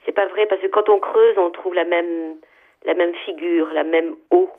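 An older woman from Algeria, a writer, answers a radio interview in a thoughtful, hesitant way, stopping often to search for words. Her voice sits fairly low. The sound comes through narrow and tinny, like a phone line. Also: steady tone, normal speed. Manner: thoughtful, hesitant with many fillers, lists ideas in a row.

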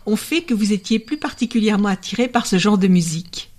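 A woman's voice: mid-range voice, lively intonation, quick pace, a little mellow. A woman hosting a conversational interview, talking in a discursive, storytelling way as she leads into her questions. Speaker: interviewer, host.

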